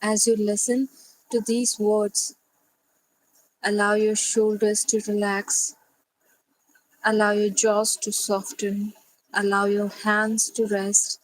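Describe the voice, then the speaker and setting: Very slow, even-toned woman guiding meditation. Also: medium pitch, dry recording, rough recording. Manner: gentle, unhurried, soothing delivery with long pauses. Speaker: meditation guide. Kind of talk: slow guided sleep meditation.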